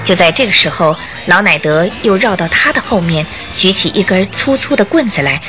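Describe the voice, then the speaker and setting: A woman's voice: lowish voice, lively intonation, brisk. Female radio drama narrator over music.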